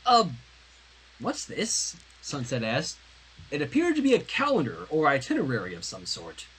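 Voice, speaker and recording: Husky, very animated fan fiction reader. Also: mid-range voice, fairly quick, low-quality recording. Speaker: host reading fan fiction aloud on a livestream. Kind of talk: dramatic reading of fan fiction with character voices.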